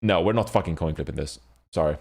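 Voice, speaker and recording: A man's voice: low voice, fast talker, gravelly, a little mellow, slightly rough. A man hosting a casual esports panel discussion, talking in a blunt, rambling, profane way. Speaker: podcast host.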